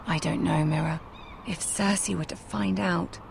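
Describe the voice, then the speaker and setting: An actress playing a guarded young noblewoman at court in a video game, speaking in a measured, regal way. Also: on the low side, fairly even intonation, creaky, slight background noise. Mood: hesitant. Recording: music behind the voice.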